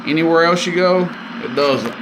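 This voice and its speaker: A man with a somewhat high-pitched voice narrates a hands-on equipment demo. He is informal and folksy, talking plainly like a seller showing how a thing works. The recording has a clearly audible steady noise throughout. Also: moderate pace. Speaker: product demonstrator and seller.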